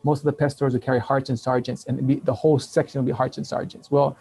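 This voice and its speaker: Husky fifty-year-old man telling stories. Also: medium pitch, fast talker. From Taiwan and Hawaii. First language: Taiwanese. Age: 50s. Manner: animated storytelling with frequent restarts and laughs. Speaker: guest, business owner. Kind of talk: casual interview talk.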